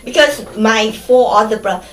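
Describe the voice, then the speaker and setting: A middle-aged woman from Vietnam with a Vietnamese accent, recalling her childhood in an oral history interview, pausing often with fillers and restarts. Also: fairly high pitch, echoey room, low-quality recording. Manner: hesitant, many fillers and restarts.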